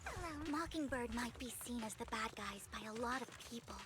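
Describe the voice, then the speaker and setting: A woman's voice: a little high, animated, fairly quick, lightly acted. A woman voicing an earnest, anxious young woman in a video game, with an emotive, dramatic delivery. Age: young adult. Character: earnest young woman, anxious ally, easily moved to tears. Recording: background music under the dialogue.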